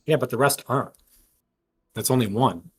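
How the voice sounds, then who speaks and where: A man's voice: medium pitch, normal speed, slightly rough. A man talking casually and analytically as he works through a mystery at length as a tabletop game player. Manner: analytical, reasons aloud at length.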